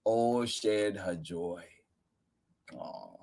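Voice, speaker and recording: A man reading a children's picture book aloud as a story-time host, with expressive, deliberate emphasis on key words. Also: fairly low pitch, gravelly.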